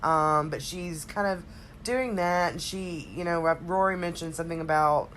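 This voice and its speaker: A woman with a very low, throaty voice, slightly stuffed up from a cold. She co-hosts a casual recap podcast and rambles along with pauses and hesitations. There is some steady noise behind her. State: recovering from a cold, slightly congested. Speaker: podcast co-host.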